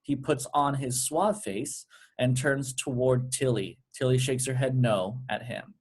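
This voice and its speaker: Male narrator, medium pitch, reading stage directions for a cast table read. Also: steady tone, unhurried, darkish tone, husky.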